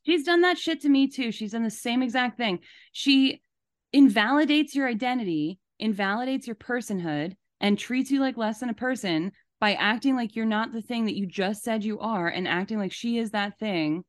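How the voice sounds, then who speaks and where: A woman's voice: a little high, animated, moderate pace, dry recording. Woman podcast host, dry close sound. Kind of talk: casual commentary chat.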